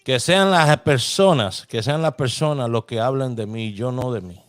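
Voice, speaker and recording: A man hosting his show, talking in a preachy, repetitive way that drives each point home. His voice sits at an ordinary pitch and sounds dry and close, with faint music underneath. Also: normal speed, no room sound. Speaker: host of a lottery numbers show. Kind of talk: casual motivational talk to listeners.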